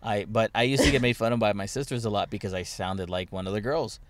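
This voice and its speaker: Young male podcast host, low voice, dry close mic, loose rambling storyteller. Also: steady tone, quick pace, no room sound. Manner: rambling storytelling, many restarts and fillers. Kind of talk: casual chat.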